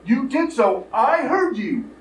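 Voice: medium pitch, sing-song, takes it slowly. An actor playing a dim, stubborn, food-obsessed phony justice of the peace, plaintive and oblivious, in a comic radio play. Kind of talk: old-fashioned comic radio play acting. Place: live radio play performance in a room. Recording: distant echoey live stage, noisy.

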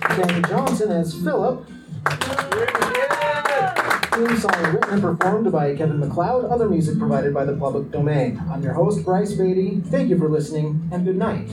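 A man's voice: sits high, lively intonation, measured pace, long pauses. A man hosting a radio play, reading out the credits in an announcer's style. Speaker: show host. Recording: echoey, distant, loud music behind. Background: loud theme music.

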